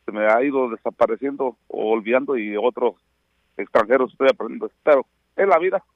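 A man's voice: medium pitch, fairly quick, no room sound. A middle-aged man from Oaxaca, Mexico, whose first language is Mixtec, speaking Spanish with a Mexican accent. He talks as a guest on a radio interview by phone, reminiscing and hesitating with many fillers. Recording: tinny dry phone line.

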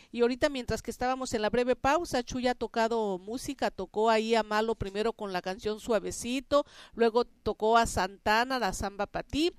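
A Mexican woman hosts a radio show with a fairly high, smooth and clear voice. She talks in a chatty, colloquial way, as if in conversation. The sound is dry, with no room around it. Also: no echo. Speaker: radio host.

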